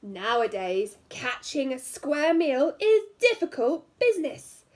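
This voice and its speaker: Female primary teacher, high and lively, slow storytime read-aloud with hushed asides to young listeners. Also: slight background noise. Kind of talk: expressive picture-book read-aloud for young children.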